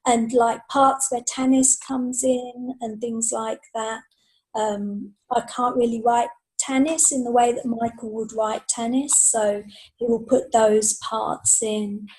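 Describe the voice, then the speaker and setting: A woman chatting casually and unscripted as a podcast guest author, hesitant and self-effacing, with a slightly husky voice. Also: a little high, little pitch movement, slow, darkish tone, poor audio. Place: remote group video call.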